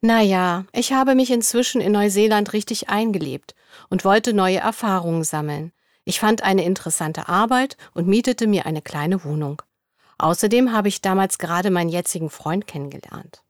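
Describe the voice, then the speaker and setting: A young woman in a studio, reading interview answers in a scripted dialogue at an even, clear pace. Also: medium pitch, moderate pace, no echo.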